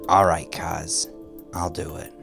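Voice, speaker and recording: A man's voice: sits low, level tone, takes it slowly, gravelly, heavily acted. A man voicing a young, ambitious but wary gangster with uneasy, slangy, streetwise speech in an audiobook crime story. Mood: resigned, resolute. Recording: music behind the voice.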